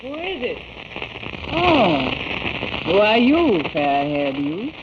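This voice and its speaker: A witch queen from a vintage radio serial, with sweeping, animated intonation. Her speech is formal, theatrical and full of wonder, heard through a dull recording with heavy crackle. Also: medium pitch, unhurried. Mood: bewildered. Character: haughty amnesiac witch queen, wondering and submissive. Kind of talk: 1930s radio serial acting.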